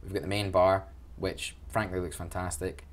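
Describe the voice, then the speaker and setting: Young Glaswegian man, low-pitched, enthusiastic and chatty, joking at his own expense. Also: little pitch movement, normal speed. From Glasgow, Scotland. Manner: enthusiastic, chatty, self-deprecating. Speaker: student union events officer. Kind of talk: casual interview answers.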